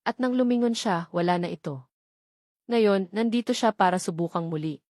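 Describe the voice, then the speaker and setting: A woman's voice: medium pitch, moderate pace, darkish tone, dry recording, clean studio sound. A woman with a Filipino accent reads a scripted romance story as a dramatic audiobook narration.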